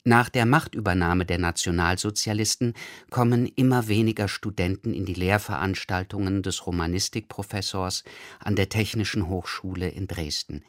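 A man reading a scripted documentary introduction in a factual, measured way. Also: fairly low pitch, no room sound, clean studio sound. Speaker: narrator.

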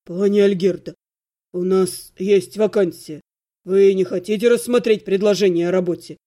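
A woman voicing a businesslike male mage investigator in an audiobook, speaking in an official, measured way. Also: medium pitch, steady tone, dark tone, pitched below the performer's own voice, lightly acted. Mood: courteous. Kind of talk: audiobook character acting.

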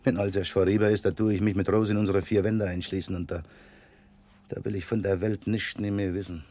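Low-voiced, timid Silesian bridegroom. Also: male voice, little pitch movement, brisk, mellow. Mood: earnest, uneasy. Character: pious, timid, earnest bridegroom longing for quiet. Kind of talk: naturalistic German radio drama acting. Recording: noisy old radio production.

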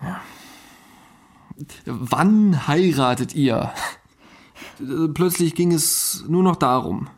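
A man's voice: fairly high pitch, drawn-out words, long pauses. A man playing an easygoing foreign husband who bickers mildly with his Chinese wife, in casual documentary radio drama dialogue. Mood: casual, bickering.

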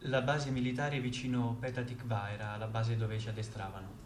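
A man's voice: medium pitch, level tone, a little fast, lightly acted. An actor playing a disciplined, detached gunman who recalls military training in a precise radio theatre monologue.

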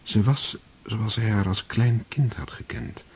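A man narrating a radio play in a measured, literary manner. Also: lowish voice, vocal fry, darkish tone, husky.

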